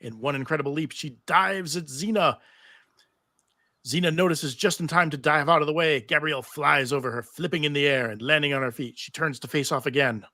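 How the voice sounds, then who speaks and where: Bright-voiced man narrating a script. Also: on the high side, a little fast. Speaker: narrator reading stage directions. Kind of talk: scripted narration at a live table read.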